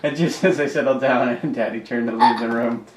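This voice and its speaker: A man reading his children's book aloud to kids in an animated storybook style. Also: mid-range voice, a little fast. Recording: echoey home recording, distant mic, background noise.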